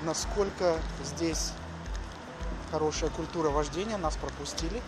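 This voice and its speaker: High-pitched middle-aged man over music. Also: unhurried. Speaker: education agency host. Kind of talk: casual walking vlog talk. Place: outdoors on city streets. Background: music, street ambience.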